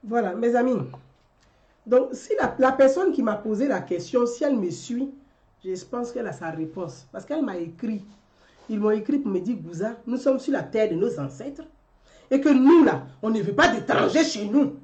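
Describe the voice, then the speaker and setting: A woman speaks in an animated commentary monologue, firing off and repeating rhetorical questions. Her voice sits somewhat high and swings widely in pitch. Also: normal speed. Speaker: commentary vlogger.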